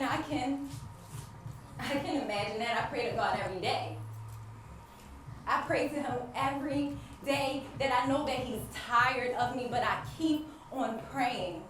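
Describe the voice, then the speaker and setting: An actress playing a devout wife who struggles with infertility, anguished yet hopeful, in an emphatic stage monologue with repeated phrases and dramatic pauses. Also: female voice, high voice. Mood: awed, earnest. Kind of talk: theatrical stage monologue addressed to the audience. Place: stage play in a large echoey room. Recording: echoey hall from far off, background noise.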